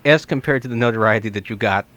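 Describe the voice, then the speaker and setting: A man's voice: on the low side, quick pace, a bit bright. An American man hosting a radio interview, introducing his guest and putting questions to him.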